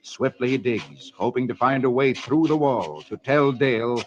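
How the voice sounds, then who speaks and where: A man's voice: mid-range voice, lively intonation, normal speed. A man reading comics to children on 1940s radio in a theatrical, dramatic scripted style. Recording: dull, noisy vintage radio recording.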